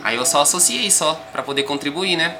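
A young man with a São Paulo accent, a rapper guest chatting calmly in a podcast interview, rambling with frequent tag questions. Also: fairly high pitch, a little fast, noisy background. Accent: São Paulo Portuguese. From Brazil.

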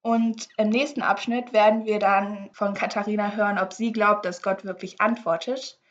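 Relaxed woman co-hosting a podcast, dull sound. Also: medium pitch, moderate pace. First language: English. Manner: relaxed and chatty, explaining a guessing game. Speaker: podcast co-host. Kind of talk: casual podcast chat.

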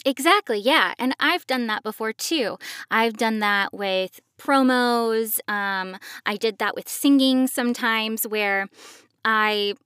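A woman's voice: fairly high pitch, slow pace, fairly bright tone, smooth, no room sound, clean studio sound. A woman hosting a podcast, explaining things to parents in a conversational way. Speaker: podcast host and voice actor.

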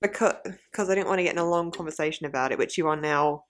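A woman's voice: sits low, fast. A woman co-hosting a podcast, chatting playfully and affectionately, sometimes singing the ends of her sentences. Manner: playful, affectionate, sing-song teasing. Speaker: podcast co-host. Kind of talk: casual chat.

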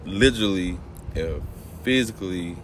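A man's voice: low voice, moderate pace, very noisy. A young man hosting a podcast, laid-back and slangy, often asking "you know what I'm saying". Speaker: podcast host. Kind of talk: casual chat.

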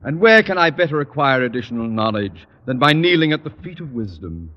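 An actor playing a pompous middle-aged school principal in a vintage radio comedy, blustering and stammering when flustered, then fawning. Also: male voice, medium pitch, big pitch swings, a little fast, lo-fi sound, lightly acted. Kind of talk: 1950s radio situation comedy acting. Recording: old radio recording, noisy studio audience.

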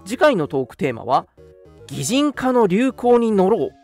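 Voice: mid-range voice, very animated, moderate pace, darkish tone. A polite, conversational radio host chatting casually and announcing segments, of unclear sex. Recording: music playing behind the voice. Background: background music.

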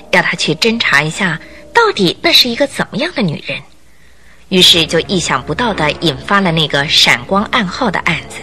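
A woman narrating a scripted radio drama in a deliberate, theatrical storytelling manner. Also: lowish voice, bright, forceful tone.

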